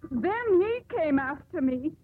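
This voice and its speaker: A haughty young ship captain's daughter in an old radio play, high and swooping in pitch. She declaims her grievances with emphatic indignation. The sound is a tinny vintage broadcast. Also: expressive, slow pace. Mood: indignant, wounded. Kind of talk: 1950s radio drama acting. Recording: tinny, band-limited 1950s radio broadcast.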